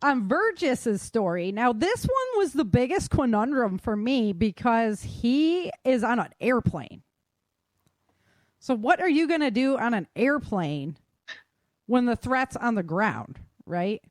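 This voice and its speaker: A woman's voice: a little high, big pitch swings, slow pace, no echo, studio quality. A woman with an American accent hosting a casual podcast discussion, explaining her points and often checking in with "right?" and "you know". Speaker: podcast host and author.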